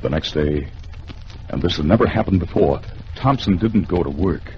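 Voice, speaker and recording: A man with an American accent narrating a suspenseful 1950s radio drama in theatrical, measured phrases. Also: deep voice, expressive. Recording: noisy, dull vintage radio recording.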